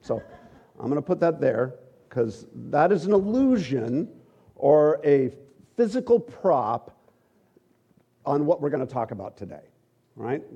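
A middle-aged man teaching at a church, relaxed and joking, with halting asides. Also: on the high side, gravelly, husky, muffled recording. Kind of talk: conversational sermon.

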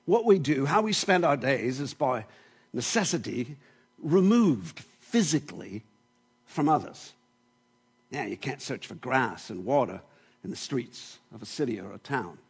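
A man's voice: mid-range voice, vocal fry, husky, heavily acted. A man performing a plainspoken New Zealand shepherd in a monologue, with a put-on New Zealand accent, talking in a folksy way with chuckles and tag questions. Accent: New Zealand, put on with some English mixed in. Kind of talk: dramatic monologue in a sermon.

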